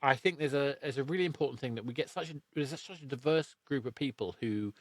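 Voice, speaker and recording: A man's voice: mid-range voice, quick pace, dry recording. A man hosting a podcast, chatting casually and often repeating words as he thinks. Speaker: podcast host. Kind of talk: casual interview chat.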